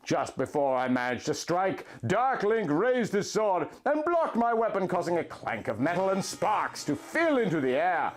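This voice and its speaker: A man's voice: sits high, big pitch swings, normal speed, few pauses. A man reading a fan story aloud as host, with a mock-dramatic storybook delivery.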